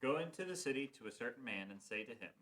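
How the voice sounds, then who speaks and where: A man reading the part of Jesus in a church passion play, slow and grave. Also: mid-range voice, expressive, a bit bright, a little hiss or hum, natural voice, rough recording. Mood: solemn. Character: Jesus, calm solemn male teacher.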